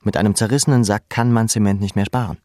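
A man reading scripted literary prose as a narrator, in a measured, deliberate, sombre manner. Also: on the low side, fairly even intonation, warm tone, no room sound, clean studio sound.

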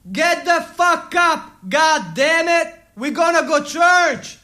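A man's voice: very high-pitched, lively intonation, drawn-out words, pushed and bright, voice pushed up, heavily acted. A man voicing an angry, bossy American relative in a radio drama, barking impatient orders.

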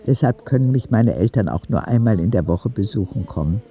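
A man's voice: lowish voice, lively intonation, brisk, slightly rough, muffled recording. A man playing a frank, cheeky ten-year-old boy who tells his story in candid, wry audio drama narration, in standard German.

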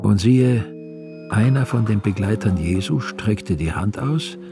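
Low, creaky male biblical narrator. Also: animated, a little slow. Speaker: narrator of a passion play. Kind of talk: scripted biblical narration in radio drama. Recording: prominent music behind the voice.

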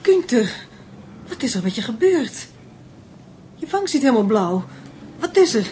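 A woman's voice: fairly high pitch, big pitch swings, measured pace, mellow, a little hiss or hum. An actress playing an emotional, accusing wife and mother, shocked and hurt, in a radio drama. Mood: worried.

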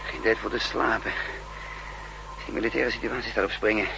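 An actor playing a decisive, practical spy leader in a 1960s radio play, giving orders and explanations in a commanding manner. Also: male voice, on the low side, steady tone, a little fast, vocal fry. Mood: resolute. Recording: noisy 1960s radio drama recording.

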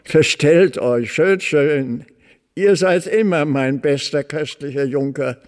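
A man's voice: on the high side, slow. A man acting a devoted old servant in a German radio play, humble and effusive, pleading and exclaiming, in a reverberant space. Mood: joyful, teasing. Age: older. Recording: long reverberant hall.

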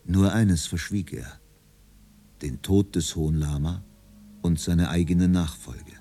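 The storyteller of a radio play, reading in a low voice over clear music. The delivery is sober, composed and measured, like scripted literary narration. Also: male voice, mellow, exaggerated voice.

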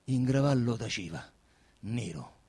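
A man's voice: mid-range voice, lively intonation, measured pace, creaky, darkish tone, husky. A man narrating literary prose in a dramatic, theatrical reading.

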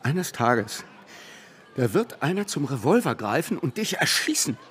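A man's voice: medium pitch, big pitch swings, unhurried, fairly bright tone, a little hiss or hum. A man playing a jealous, agitated suitor in a radio drama, speaking urgently and threateningly. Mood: angry, threatening. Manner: stammering, urgent, menacing. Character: jealous, agitated man menacing the woman he wants.

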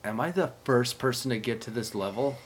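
A man's voice: medium pitch, fairly quick, slightly rough. A man co-hosting a podcast in a casual, playful, mock-earnest chatting style. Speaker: podcast co-host. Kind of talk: casual chat.

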